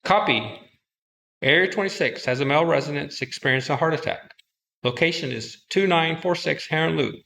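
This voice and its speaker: A man's voice: on the high side, a little fast, vocal fry, pushed and bright, rough recording. A man giving formal, procedural radio announcements as a dispatcher in a scripted drill.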